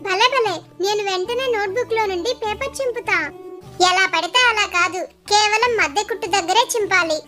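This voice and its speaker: A woman voicing playful, bickering young children in a cartoon story, excitable, teasing and singsong. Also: very high voice, brisk, over loud music. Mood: eager, playful. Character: squabbling young children, playful brother and sister.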